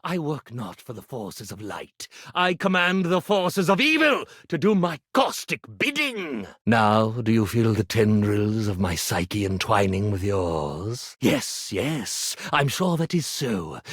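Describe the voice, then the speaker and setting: An actor playing a pompous stage magician in a video game, declaiming in grandiose, archaic phrases and boasting of dark powers. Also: male voice, fairly low pitch, big pitch swings, slow, hardly any pauses, breathy edge, no room sound, studio quality, full character voice. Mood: mystical boasting. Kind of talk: theatrical video game character acting.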